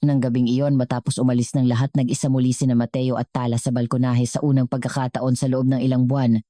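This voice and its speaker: A woman narrates a scripted story in a very low-pitched voice. Her tone is soft and dark, and the sound is dry and close, with little room on it. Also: flat delivery, fast, no echo.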